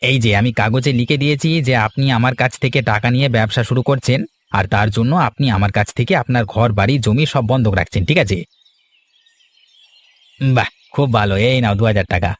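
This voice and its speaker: A man's voice: medium pitch, normal speed, natural voice. A man voicing a greedy, sly village trader in a cartoon fairy tale, with a glib, persuasive, scheming way of talking. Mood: smug, mocking. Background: background music.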